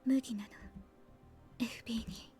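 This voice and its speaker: An actress playing a cold, timid young woman agent who speaks in a breathy near-whisper in a visual novel. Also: a little high, steady tone, fast talker, dark tone, soft background music, natural voice. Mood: cold, hushed. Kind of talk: Japanese visual novel voice acting.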